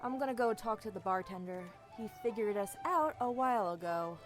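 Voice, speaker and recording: A woman's voice: mid-range voice, animated, a little fast, faint music behind, lightly acted. A woman playing a sassy, eager young female assassin in a fantasy audio drama, chatty and snarky. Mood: upbeat, confiding. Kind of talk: amateur fantasy audio roleplay acting.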